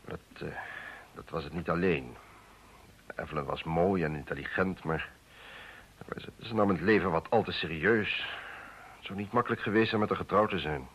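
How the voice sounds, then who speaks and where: An actor playing a formal, defensive politician in a radio play, speaking hesitantly and with measured words. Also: male voice, low voice, a bit bright, husky, heavily acted. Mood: uneasy, hesitant. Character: defensive male politician confessing an affair, formal and evasive. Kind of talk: Dutch radio play acting. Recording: dull old radio play recording.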